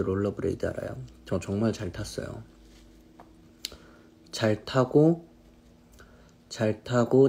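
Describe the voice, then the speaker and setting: Low gravelly man on a relaxed live stream. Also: a little hiss or hum. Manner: relaxed, answers viewers' questions, drifts between snacks and hobbies. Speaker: singer hosting a live stream. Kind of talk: casual live-stream chat reading comments. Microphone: phone on a live stream.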